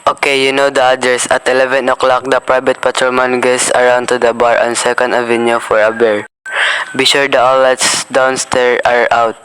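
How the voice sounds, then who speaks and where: A man's voice: medium pitch, normal speed, a little hiss or hum, natural voice, rough recording. A boy playing a cold-blooded killer's boss. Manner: cold, flat, businesslike. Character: cold criminal man ordering a murder.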